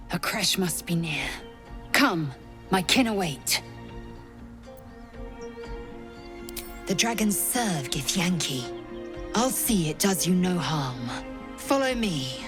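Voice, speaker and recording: A woman playing a proud, severe young alien warrior in a video game, with clipped, formal, haughty speech. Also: lowish voice. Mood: intense, resolute. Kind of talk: dramatic video game acting. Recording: game music behind the voice. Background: music.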